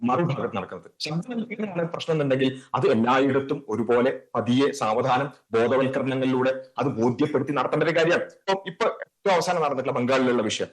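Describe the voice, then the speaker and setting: A man's voice: medium pitch, brisk. A man speaking as a panelist in a TV news debate, explaining his argument step by step.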